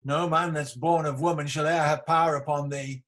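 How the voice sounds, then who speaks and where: A man's voice: on the high side, fairly even intonation, fairly bright tone, lightly acted. An amateur actor playing an ageing, embattled tyrant king in a Shakespearean radio play, declamatory, defiant and world-weary. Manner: declamatory, slow, theatrical.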